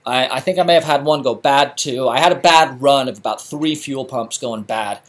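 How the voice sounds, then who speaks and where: A man's voice: mid-range voice, moderate pace. A middle-aged man from England hosting a live stream, chatting casually with lots of fillers and restarts. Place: home garage.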